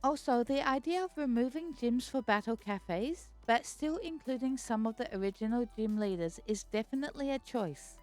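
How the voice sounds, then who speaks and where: A woman hosting her own video, reading a scripted explanation in a measured, explanatory way. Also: a little high, lively intonation, darkish tone, soft background music. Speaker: video host. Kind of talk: scripted narration.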